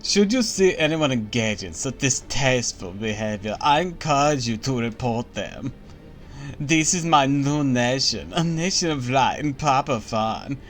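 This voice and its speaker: A man's voice: mid-range voice, animated, unhurried, a bit bright. A vain, impish empress speaks with a put-on German accent, pompous and grandly theatrical, as a man puts her on. Her talk stays haughty, and faint game music plays behind her. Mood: grandly proud. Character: vain imperious empress, grown woman, impish and cruel. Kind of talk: voicing game dialogue on a stream.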